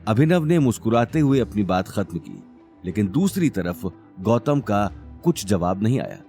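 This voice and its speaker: A man's voice: lowish voice, big pitch swings, moderate pace, warm tone, faint music behind. A man narrating a scripted audio drama serial in a dramatic storytelling style.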